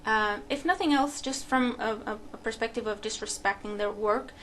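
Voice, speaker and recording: A woman's voice: on the high side, normal speed, fairly bright tone, slight background noise. A woman from Romania, a tarot reader who muses aloud while unboxing a deck at her table. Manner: musing, thinking aloud with fillers and restarts. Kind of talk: casual unboxing commentary. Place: home room at a table.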